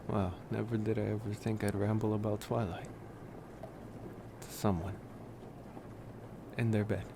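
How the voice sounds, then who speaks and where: A man playing a friendly, gently bemused college vampire who rambles casually and hesitantly in an intimate ASMR roleplay. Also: level tone. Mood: relaxed musing. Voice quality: creaky low voice. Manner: casual, hesitant, drawn-out musing with sighs. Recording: clear background noise.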